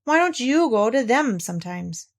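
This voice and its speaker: A woman voicing a brisk, bossy, matter-of-fact male rabbit from a children's storybook, practical and quick to correct. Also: fairly high pitch, big pitch swings. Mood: brisk, scolding. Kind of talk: children's storybook read aloud.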